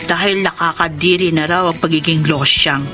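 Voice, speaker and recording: A woman's voice: low voice, fairly even intonation, normal speed, close to an everyday voice. An actress playing a bitter woman confessing her secrets as narration in a Filipino radio drama. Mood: bitter, confessional. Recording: loud music under the voice.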